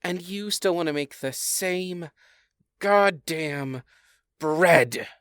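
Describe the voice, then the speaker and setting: Slow, smooth, needling fairy queen. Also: lowish voice, fairly bright tone, dry recording. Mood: needling, provocative. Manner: dry, teasing, unimpressed. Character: wry, needling fairy queen who craves spice. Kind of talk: comedic audio drama acting.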